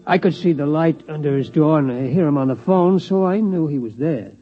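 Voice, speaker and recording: An actor playing a timid, folksy old security guard, rambling and hesitant, in 1950s radio drama style. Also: male voice, a little high, a little fast, close to an everyday voice. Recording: muffled vintage radio recording, faint music.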